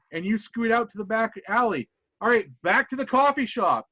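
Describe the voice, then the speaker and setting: A man's voice: high voice, animated, moderate pace, sharp tone, no room sound, highs cut off. A man running a tabletop roleplaying game as game master, narrating animatedly and laughing often.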